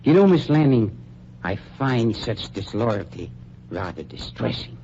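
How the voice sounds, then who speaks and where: An actor playing a suave, sinister middle-aged funeral director, calm and menacing in 1940s radio acting style. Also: male voice, mid-range voice, a little slow, a little hiss or hum. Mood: coldly amused. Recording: muffled 1940s radio recording.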